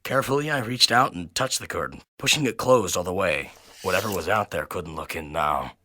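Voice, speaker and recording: Intense male horror narrator, bright and dry. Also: sits low, fairly quick, no room sound. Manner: intense, theatrical, swinging from hushed to loud. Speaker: narrator and reader of a horror story. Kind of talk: dramatic first-person horror narration.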